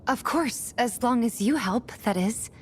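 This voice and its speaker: An actress playing a friendly, caring young woman in a video game, speaking gently and conversationally with slight hesitations. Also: fairly high pitch, lively intonation, measured pace, slight background noise. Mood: apologetic, encouraging. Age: young adult. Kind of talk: video game cutscene voice acting. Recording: background music under dialogue. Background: game music.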